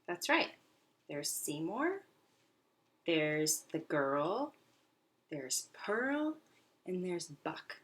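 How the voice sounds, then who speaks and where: A woman's voice: fairly low pitch, sing-song, extremely slow, pauses often, a bit bright. A woman teaching young pupils in a read-aloud lesson, encouraging and instructional, with simple phrasing and frequent questions. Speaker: elementary school teacher. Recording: noisy recording with clicks.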